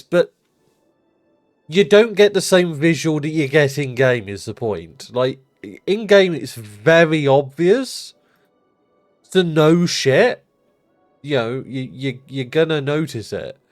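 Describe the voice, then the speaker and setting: A man's voice: a little high, dry recording, clean studio sound. A man streaming a city-building game, chatting casually and rambling, his nose blocked up because he just rolled out of bed.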